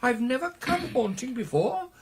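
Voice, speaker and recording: A man voicing a timid, flustered young male ghost in a radio play, halting, meek and deferential. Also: very high voice, very animated, slight background noise, pitched above the performer's own voice. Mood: flustered, abashed.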